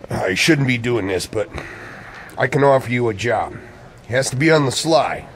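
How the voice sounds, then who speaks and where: A man's voice: medium pitch, animated, normal speed, slight background noise, lightly acted. An actor playing an edgy, secretive man in radio play dialogue, coy and evasive with an old friend. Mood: secretive, hesitant. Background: music.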